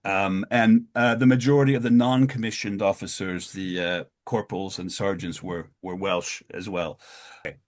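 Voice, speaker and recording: A man giving a history talk over slides, with many fillers and restarts, his pitch lifting at each new point. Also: steady tone, normal speed. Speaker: lecturer.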